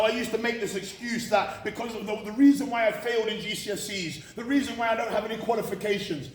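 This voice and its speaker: A British man giving a candid, emphatic motivational talk to students, repeating phrases for effect. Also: very high voice. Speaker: motivational speaker at a college. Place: indoor room at a college. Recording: echoey room from far off, faint noise.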